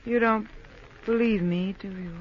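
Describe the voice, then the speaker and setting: A woman's voice: mid-range voice, steady tone, unhurried, natural voice. An American actress playing a weary, guarded young woman on the run in a 1950s radio drama. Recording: muffled, faintly noisy 1950s radio recording.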